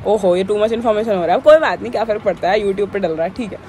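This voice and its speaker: A woman's voice: mid-range voice, fast talker, a little mellow, a little hiss or hum. A twenty-one-year-old woman vlogging in a casual, rambling, opinionated way, switching back and forth between languages. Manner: rambling, opinionated, code-switching, often says "yaar" and "theek hai". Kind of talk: casual talking-head vlog.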